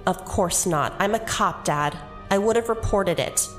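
A woman's voice: medium pitch, measured pace, soft background music, close to an everyday voice. An actress playing a defiant, idealistic policewoman in an audio drama, with a pointed, sarcastic delivery. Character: defiant, idealistic policewoman, grown daughter of commissioner.

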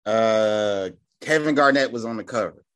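A man in his thirties, guest on his brother's podcast, telling a story in relaxed casual chat. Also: lowish voice, moderate pace, clear, resonant tone. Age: 30s. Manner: laid-back storyteller, lively delivery. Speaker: guest, host's big brother.

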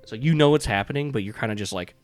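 A man's voice: medium pitch, very fast. A man acting as game master, narrating a tabletop game in an informal, improvised way with frequent chuckles. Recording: music playing behind the voice. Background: music.

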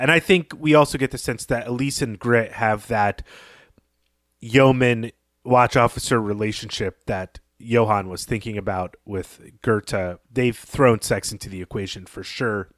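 A man of average pitch discusses fiction as a podcast co-host. His delivery is thoughtful and analytical but stays informal and conversational. He sounds close and completely free of room echo. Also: normal speed, a bit bright.

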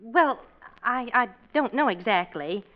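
An actress playing a sensible, patient middle-aged wife and mother in a 1940s radio sitcom, with a measured, gently wry manner. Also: on the high side, expressive. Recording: dull, lo-fi vintage radio recording.

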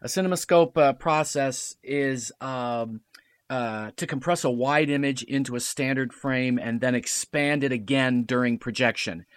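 A man's voice: mid-range voice, unhurried, smooth, dry recording. A man as a podcast guest, explaining technical things emphatically in a casual chat.

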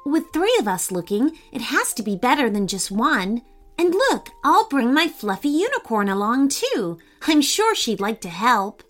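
A woman voicing a kind, eager six-year-old girl in a children's storybook, gentle, friendly and reassuring. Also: on the high side, sing-song, moderate pace, faint music behind.

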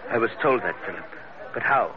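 A man's voice: mid-range voice, lively intonation, brisk, natural voice. An actor playing a formal Chinese father in a 1940s radio adventure drama. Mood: grave, subdued. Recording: dull old radio recording, noisy crowd.

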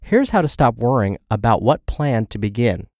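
A man's voice: medium pitch, big pitch swings, moderate pace, darkish tone, dry recording, clean studio sound. A man hosting a podcast, reading health and fitness blog posts aloud while congested and scratchy from the flu. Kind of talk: read-aloud narration.

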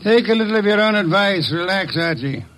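An actor playing a fussy, pompous detective with formal diction, in 1940s radio acting. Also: male voice, high-pitched, animated, fairly quick, a bit bright. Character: fussy, pompous middle-aged male detective.

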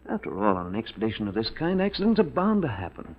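A man's voice: mid-range voice, very animated, quick pace. An actor playing an assured, coaxing male schemer and secret lover in a 1940s radio drama. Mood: coolly persuasive. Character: smooth scheming lover, calmly murderous treasure hunter. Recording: tinny noisy 1940s radio recording.

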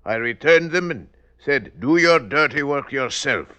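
A man's voice: mid-range voice, expressive, a little slow, bright, forceful tone. An actor playing a defiant accused man shifting blame in court, emphatic, in an old radio drama. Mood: defiant, accusing. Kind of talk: 1950s radio drama acting.

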